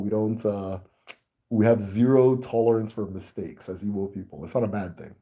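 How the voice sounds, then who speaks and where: A man with an American accent, hosting a casual talk to his viewers in a relaxed, rambling way with many fillers. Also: fairly low pitch. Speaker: host. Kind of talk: casual video chat to viewers.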